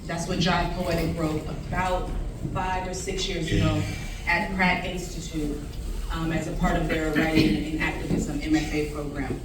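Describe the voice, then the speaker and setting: A woman's voice: deep voice, level tone, moderate pace. A woman on stage reciting a spoken word piece in a rhythmic, declamatory way. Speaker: poet on stage. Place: event venue, large room. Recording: roomy echo, distant, noisy.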